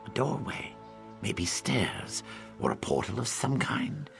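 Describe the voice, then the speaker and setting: A lizardfolk scout in a fantasy video game, earnest and a little cocky. He speaks slowly in a gravelly, creaking voice, with music playing clearly behind him. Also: on the low side, very animated, heavily acted. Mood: resolute. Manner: tags sentences with a questioning "yes?". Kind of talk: video game character dialogue.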